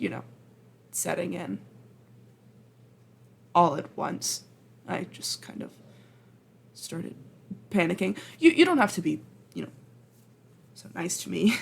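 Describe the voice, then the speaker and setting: Casting: actress as apologetic female time traveler for ASMR roleplay; creaky, husky, hesitant, rambling and self-deprecating. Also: medium pitch, exaggerated voice. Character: flustered, apologetic young woman time traveler from the future. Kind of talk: ASMR roleplay acting, intimate and conversational.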